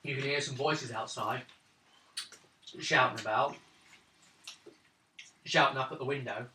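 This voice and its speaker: A man running a tabletop roleplaying game, describing scenes and often clearing his throat. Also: medium pitch, unhurried, pushed and bright, a little hiss or hum. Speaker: game master. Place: home room around a gaming table. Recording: echoey room from far off mic.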